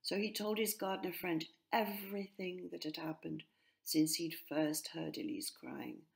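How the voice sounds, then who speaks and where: A woman narrating a children's story as its author, measured and expressive. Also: fairly low pitch, vocal fry.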